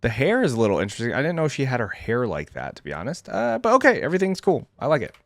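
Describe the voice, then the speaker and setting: Male reaction host: casual gamer talk, animated intonation, close dry mic, slangy and unscripted. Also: medium pitch, very fast, no echo. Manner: informal, slangy, thinking aloud. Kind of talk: casual off-the-cuff gaming commentary.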